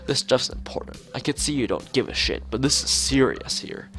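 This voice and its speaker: A man's voice: medium pitch, a little fast, fairly bright tone, faint music behind, voice pushed up, natural voice. A man voicing a paranoid young male conspiracy theorist in a visual novel, ranting with urgent, pleading melodrama. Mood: paranoid, intense. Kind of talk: reading visual novel dialogue aloud.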